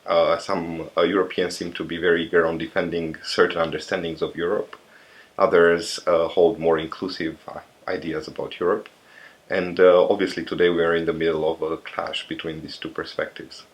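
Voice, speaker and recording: A man in his thirties from Romania, giving reflective interview answers in a thoughtful, halting way with many pauses and restarts. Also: sits low, flat delivery.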